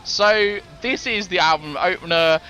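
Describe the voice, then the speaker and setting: Bright-voiced rambling podcast co-host man. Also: high-pitched, a little slow, dull audio, soft background music. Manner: rambling, many fillers and restarts, laughs easily. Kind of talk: casual chat about music.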